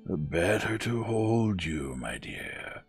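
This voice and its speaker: A man voicing a gruff wolf disguised as a grandmother, drawling slowly and slyly. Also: deep voice, sing-song, faint music behind, heavily acted.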